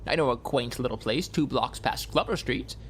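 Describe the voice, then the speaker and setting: A man voicing a fussy, meddling, well-meaning middle-aged driver in an audiobook, long-winded and proper, with hemming pauses. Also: mid-range voice, animated, a little hiss or hum, close to an everyday voice. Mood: preachy, nosy.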